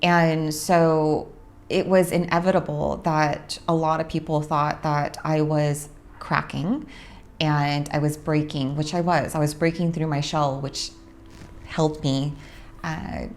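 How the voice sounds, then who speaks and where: A young adult woman from Orange County, California, hosting a casual monologue about her spiritual work, unhurried and reflective with frequent pauses. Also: low voice, steady tone, a little hiss or hum. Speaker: host, spiritual practitioner.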